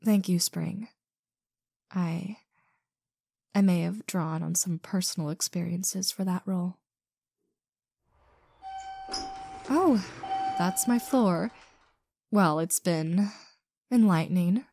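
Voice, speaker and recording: A woman playing a haughty, sardonic actress in an audio drama, speaking in a cutting, deliberate, condescending manner. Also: lowish voice, gravelly, dark tone, dry recording, heavily acted. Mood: guarded, admiring. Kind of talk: scripted ASMR audio drama.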